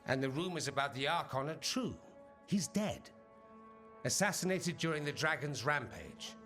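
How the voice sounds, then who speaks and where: A man's voice: on the high side, big pitch swings, normal speed, a bit bright. A man playing a suave, witty, self-assured mage in a fantasy game, with a dry, sardonic, theatrical delivery. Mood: grave. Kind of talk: dramatic game dialogue. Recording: reverberant hall, music underneath.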